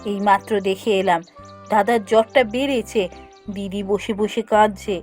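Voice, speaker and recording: A woman's voice: medium pitch, fairly even intonation, moderate pace, darkish tone, soft background music, natural voice. A woman voicing a caring, insistent young village wife in a cartoon, earnest and pleading. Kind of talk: cartoon voice acting.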